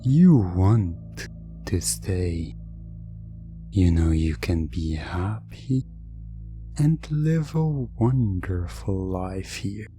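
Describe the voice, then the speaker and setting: A man with a lowish, mellow voice narrates a hypnosis roleplay in an ASMR style. He speaks extremely slowly and suggestively, leaving long pauses between phrases. Soft music plays faintly behind him. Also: lively intonation.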